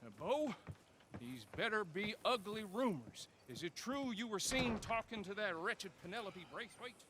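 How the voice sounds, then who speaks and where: A man playing a pompous, gruff small-town lawman in a video game. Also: high-pitched, expressive, normal speed, background noise. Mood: stern. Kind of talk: video game cutscene acting.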